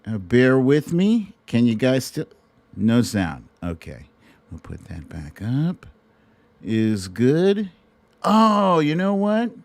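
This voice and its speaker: A man's voice: mid-range voice, very animated, slow pace, darkish tone, no room sound. A middle-aged man hosting a livestream, chatting casually and directly to his viewers in a rambling, conversational way. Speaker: host of a livestream show. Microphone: streaming microphone at a laptop setup.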